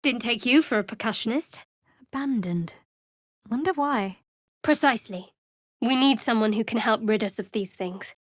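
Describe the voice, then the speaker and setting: A woman playing a guarded, sardonic young cleric of a dark goddess in a fantasy video game, speaking in a dry, measured, wry way. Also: on the high side, animated, dry recording. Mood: composed, dry.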